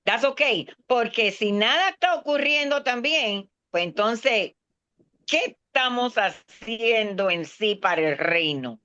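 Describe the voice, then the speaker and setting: A woman's voice: a little high, animated, slow pace, sharp tone. Earnest woman pastor preaching, lo-fi sound. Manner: earnest, mixes English words into Spanish. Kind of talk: sermon in a class. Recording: dull lo-fi sound.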